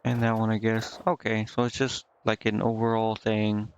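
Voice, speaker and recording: A man streaming a game, casually reading on-screen text aloud and thinking out loud in a halting, puzzled mutter. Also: on the low side, monotone, smooth, lo-fi sound. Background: game music.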